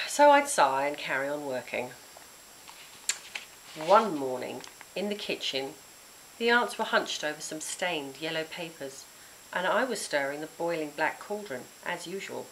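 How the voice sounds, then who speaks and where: A woman reads a children's story with dramatic emphasis. Their voice is fairly low and moves up and down in a lively way, with a faint hiss on the recording. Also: poor audio. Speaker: author reading their own book. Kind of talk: expressive children's storybook narration.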